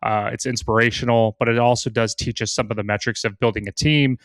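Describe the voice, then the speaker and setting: A man giving a loose, casual introduction to a podcast rerun, filling pauses with "uh" and "um". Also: medium pitch, steady tone, no echo. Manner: loose, full of fillers like "uh" and "um". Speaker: marketing director introducing a rerun.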